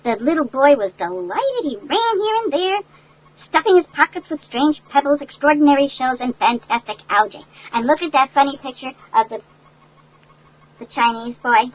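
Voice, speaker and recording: An older woman reading a picture book aloud to children in an animated, folksy way, with chatty asides that explain things. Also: high voice, normal speed, slight background noise, low-quality recording. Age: older, great-grandmother. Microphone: headset.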